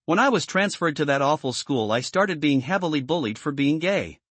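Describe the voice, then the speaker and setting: A man narrating an online post as a story in a steady, even read-aloud manner. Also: on the high side, moderate pace, dry recording.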